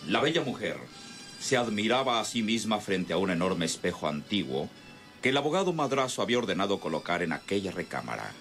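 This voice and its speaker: Dramatic, swooping low male narrator. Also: sing-song, fairly quick, fairly bright tone, soft background music. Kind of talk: dramatic scripted radionovela narration.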